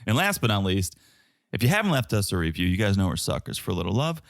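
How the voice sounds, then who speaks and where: A man's voice: on the low side, very animated, fast, vocal fry, no echo. A man with a Southern American accent co-hosting a reality TV podcast in casual chat, with dry asides. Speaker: podcast co-host.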